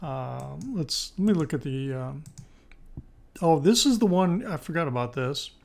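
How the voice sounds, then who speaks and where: A man with a fairly high, slightly hoarse voice talks through stock charts as the presenter. His delivery is informal and tentative, and he works out his thoughts aloud while commenting. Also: expressive, a little slow. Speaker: stock market video presenter. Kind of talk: screen-share market analysis commentary.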